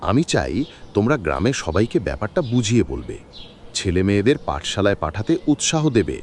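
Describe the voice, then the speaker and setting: Casting: male actor as benevolent cartoon village landlord, lowish voice, very animated delivery, dignified and measured. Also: slight background noise. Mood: earnest, encouraging. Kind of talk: acted cartoon folk-tale dialogue.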